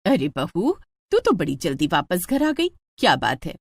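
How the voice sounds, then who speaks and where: A woman's voice: on the low side, huge pitch swings, normal speed, creaky, breathy edge, no room sound, full character voice. A woman voicing a stingy, scheming middle-aged mother-in-law in a cartoon story, with a placating, penny-pinching manner. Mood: pleased.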